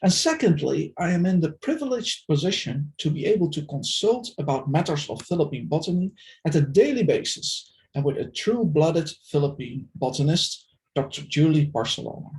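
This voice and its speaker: Academic man, middle-aged, formal and deliberate, slightly higher voice with some gravel. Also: animated, darkish tone, poor audio. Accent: non-Filipino, foreign-accented English. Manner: measured, formal, deliberate delivery. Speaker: invited speaker, plant systematist. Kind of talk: prepared academic presentation.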